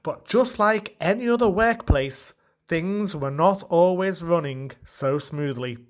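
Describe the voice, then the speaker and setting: A woman's voice: on the low side, lively intonation, slow pace. A woman giving scripted narration for a Christmas radio play.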